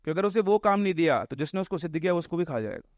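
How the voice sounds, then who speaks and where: A man's voice: on the high side, expressive, rapid-fire, dry recording, clean studio sound. A man telling ghost tales casually in an explanatory way, mixing in English words. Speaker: storyteller on a horror podcast.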